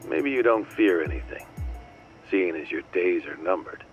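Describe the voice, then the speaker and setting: A cold, controlling handler of secret agents in a game drama. His voice sits deep and he talks down to his listener with stern deliberation. Music is clearly heard behind him. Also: expressive, normal speed, dull audio. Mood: cool, threatening. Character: controlling, coolly menacing male intelligence handler. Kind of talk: dramatic game cutscene acting.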